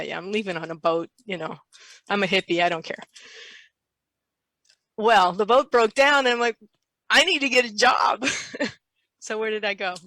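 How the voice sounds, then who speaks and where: An older woman, a guest, telling a lively personal anecdote and quoting herself along the way. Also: medium pitch, dry recording. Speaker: interview guest. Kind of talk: casual storytelling.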